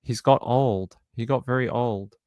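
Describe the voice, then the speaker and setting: Australian guy, about forty, streamer, casual rambling chat, mid pitch, dry close mic. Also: a little slow, dark tone, clear, resonant tone, no room sound. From Sydney, Australia. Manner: rambling, self-correcting storyteller. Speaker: true crime streamer.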